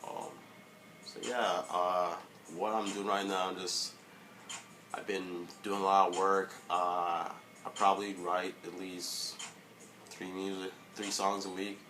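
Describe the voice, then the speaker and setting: A young man giving a casual motivational vlog monologue, halting, with many fillers and restarts. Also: low voice, flat delivery, sharp tone, slight background noise. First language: English. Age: young adult. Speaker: vlogger.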